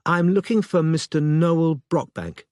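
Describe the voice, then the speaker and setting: A man's voice: fairly high pitch, measured pace, darkish tone, no room sound, clean studio sound, voice pushed up, natural voice. A man voicing a young woman who poses as a lawyer, speaking carefully, politely and professionally on the phone.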